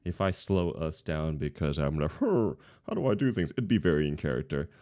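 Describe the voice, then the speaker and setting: A man's voice: rumbling low, animated, brisk, dark tone. A man hosting a livestream with casual, jokey chat, introducing things and welcoming viewers informally. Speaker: stream host.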